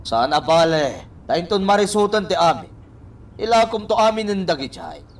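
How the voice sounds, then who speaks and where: Animated, higher-voiced greedy schemer. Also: male voice, moderate pace. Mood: calculating. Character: greedy, scheming young man plotting for wealth. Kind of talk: Ilocano radio drama acting. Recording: slightly distant from microphone.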